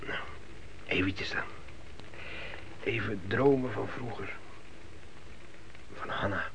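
Creaky-voiced young Hebrew son. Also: male voice, lowish voice. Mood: sleepy. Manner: colloquial, halting, repeating words. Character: young Hebrew emigrant son, chatty, scornful, pious. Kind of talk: naturalistic radio drama dialogue. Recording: hissy old radio play recording.

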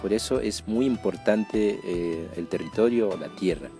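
A man's voice: fairly low pitch, a little slow, over loud music. A man from the Amazon, giving explanatory testimony as a community member and searching for his words. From Amazon region of northern Peru.

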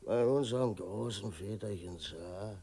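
An actor playing a drunken, meek old coachman in a radio drama, slurred, halting and muddled. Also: fairly low pitch, warm tone, slightly rough.

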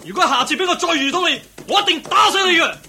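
A man playing a brutal slave master who bullies and threatens in harsh, high shouts for a radio play. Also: animated, fast, full character voice. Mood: furious threatening. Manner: bullying, threatening. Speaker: slave owner beating a slave.

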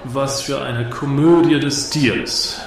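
A man's voice: mid-range voice, steady tone, slow pace, gravelly. A man in a radio play acting an arrogant cabaret provocateur who mocks his audience with sneering, theatrical delivery. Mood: scornful. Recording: echoey hall with audience crowd.

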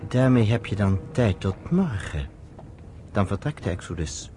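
Male, lowish pitch, as persuasive, companionable narrator figure in radio drama; slight noise in recording. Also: lively intonation, unhurried. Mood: inviting. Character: earnest storyteller, a persuasive, companionable Zionist man. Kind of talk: Dutch radio drama acting, storytelling.

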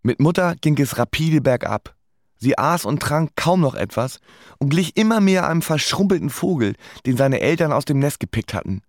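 Actor as a dry, lively-pitched male narrator. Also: normal speed, no echo, lightly acted. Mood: dry, sardonic. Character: dance-band musician recounting his bleak life drily. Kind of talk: scripted first-person radio play narration.

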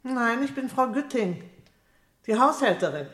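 A woman's voice: a little high, lively intonation, a little slow, fairly bright tone, slight background noise. A woman acting a garrulous, good-natured housekeeper in a crime radio play, talking in a homely, folksy way. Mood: matter-of-fact.

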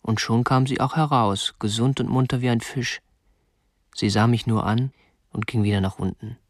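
A man's voice: mid-range voice, steady tone, moderate pace, close to an everyday voice. An actor playing a meek, stiff kidnapper in his twenties in a radio drama, obsessive and self-justifying, in a plain, subdued manner. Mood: matter-of-fact, self-justifying. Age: 20s. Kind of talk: radio drama acting, confessional first-person narration.

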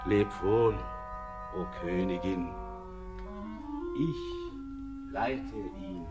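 Solemn, very slow ancient man. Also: low voice, over loud music. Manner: solemn, declamatory, drawn-out delivery. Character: weary ancient male guide, solemn, disdainful of humans. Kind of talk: classical verse radio drama acting.

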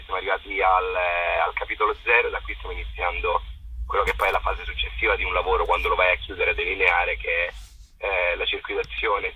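A young man explaining his theatre work in an interview, haltingly, restating phrases as he searches for words. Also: low voice, husky, muffled recording. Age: young adult. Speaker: theatre maker being interviewed.

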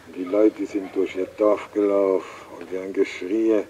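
An actor playing an earnest local man who explains things in simple, deliberate phrases in a naturalistic radio play. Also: deep voice, little pitch movement, dark tone, lo-fi sound, a little hiss or hum, natural voice.

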